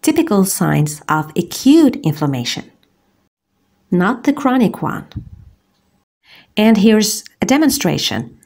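A woman from Algeria, a gynecologist, giving a scripted medical lecture as a voice-over on slides, measured and precise. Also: medium pitch, sing-song, gravelly, a little mellow. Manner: measured, deliberate, precise enumeration.

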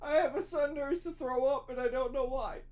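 A man in his twenties giving excitable, casual reaction commentary. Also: very high voice, fairly quick, background noise. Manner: excitable, exclaiming. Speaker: reaction video host. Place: cluttered bedroom indoors. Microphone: desk condenser microphone with headphones.

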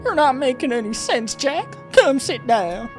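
A man's voice: extremely high pitch, sing-song, measured pace, pitched above the performer's own voice, full character voice. A man voicing a seductive female succubus from a visual novel, with a smug, mocking, theatrical delivery. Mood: feigned concern. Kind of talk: reading visual novel dialogue aloud. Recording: music behind the voice.

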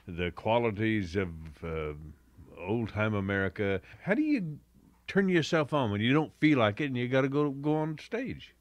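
A man interviewing casually as a radio disk jockey, halting as he searches for words. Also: medium pitch, very animated, no echo.

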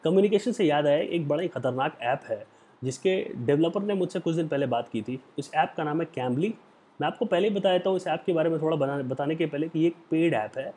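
A young man with an Indian accent giving an earnest motivational talk to the camera on a lapel mic in a small room, lecturing and slowing down for English phrases. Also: fairly high pitch, dark tone, breathy edge. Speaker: hospitality career vlogger. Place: small indoor room, plain wall.

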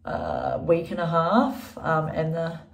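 A woman's voice: low-pitched, wildly swooping pitch, slow pace, vocal fry. Blocked-up New Zealand woman in a bathroom. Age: young adult. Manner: chatty, lots of "like" and "um". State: blocked-up nasal voice. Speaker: vlogger. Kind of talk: casual vlog to camera. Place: small bathroom indoors. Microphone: phone camera at arm's length. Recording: echoey bathroom.

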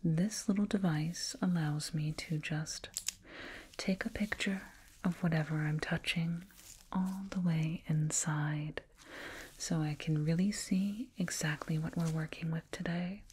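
Casting: woman as alien dentist for ASMR roleplay, low hushed whisper, unhurried, gently patronizing toward a little human patient. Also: fairly even intonation, full character voice. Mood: clinical, soothing. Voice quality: breathy whisper. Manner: slow, doting, clinical, gently patronizing. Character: condescending yet soothing alien dentist, fussing over a little human. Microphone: binaural mic, up close.

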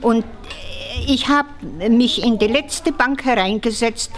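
An elderly woman in her nineties, originally from Moldova, talks with a Moldovan accent. She tells her story animatedly and pokes fun at herself. Her voice sits slightly high, recorded up close in a big church hall. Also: takes it slowly, soft background music. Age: 90s. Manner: animated, self-mocking storyteller. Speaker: interviewee. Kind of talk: casual storytelling. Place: large church hall indoors.

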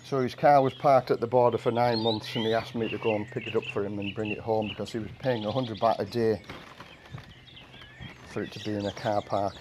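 An older man with an English accent chatting casually while washing his car, in a monotone voice and a rambling storytelling manner. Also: on the low side, brisk, background noise. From England. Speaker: vlogger. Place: outdoor carport of a house. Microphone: camera mic from a few meters away. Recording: outdoor camera audio with birdsong. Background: birds and rural outdoor ambience.

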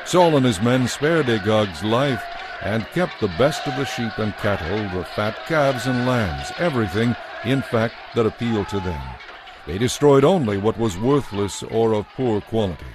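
A man's voice: lowish voice, animated, moderate pace, background noise. A man narrating a dramatized audio Bible in a scripted storytelling style.

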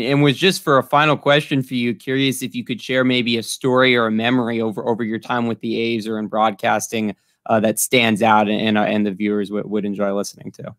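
A man's voice: mid-range voice, fairly bright tone, smooth, dry recording, studio quality. A man in his twenties from the San Francisco Bay Area, politely hosting an interview over a video call. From San Francisco Bay Area, USA. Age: 20s. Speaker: student podcast host. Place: small room, indoors. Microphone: video call, close and dry.

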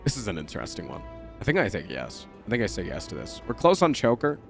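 Nasal, excitable young man streaming. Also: on the low side, fast, gravelly, faint music behind. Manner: profane, excitable, jokey. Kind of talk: live-stream gameplay commentary.

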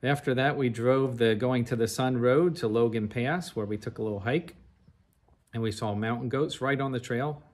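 A man's voice: medium pitch, normal speed. Middle-aged man narrating a travelogue. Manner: recounts in an orderly, chronological, detailed way. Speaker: narrator of a travel slide show.

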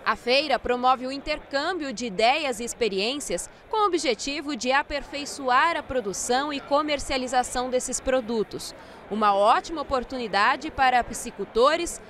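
A woman TV reporter with a Brazilian accent, narrating a news report in a measured, clear broadcast manner. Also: high voice, animated, a bit bright, a little hiss or hum. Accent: Brazilian Portuguese. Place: indoor trade fair hall. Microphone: handheld microphone.

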